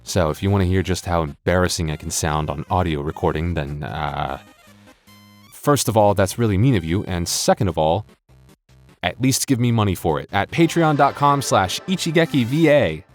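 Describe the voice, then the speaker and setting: Joking, rambling American man, low voice. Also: expressive, normal speed, soft background music. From United States. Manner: joking, rambling, exaggerated comic exasperation. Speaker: audio roleplay creator and voice actor. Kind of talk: casual chatty outro to listeners.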